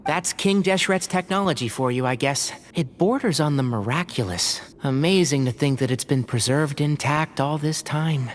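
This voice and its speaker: A man's voice: a little high, moderate pace, few pauses, close to an everyday voice. A man voicing a thoughtful, cautious young man in a video game, reflective and articulate. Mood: pensive, impressed.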